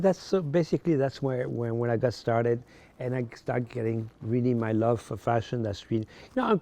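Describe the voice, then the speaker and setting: A man in his sixties from Morocco, raised in France, telling his life story as a podcast guest in a halting way, often repeating words. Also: medium pitch, a little mellow, muffled recording. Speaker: podcast guest, fashion designer. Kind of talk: casual interview storytelling.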